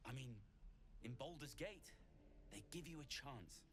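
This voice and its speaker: An actor playing an anxious but hopeful refugee in a fantasy video game, hesitant and stammering. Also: male voice, mid-range voice, lively intonation, measured pace, music in the background, close to an everyday voice. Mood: nervous hope.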